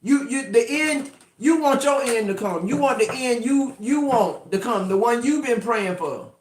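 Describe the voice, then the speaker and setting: High-voiced 50s man in an echoey room. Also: animated, a little slow, a little hiss or hum. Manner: emphatic, profane, rambling with restarts. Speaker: tarot reader. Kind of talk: casual tarot reading to camera.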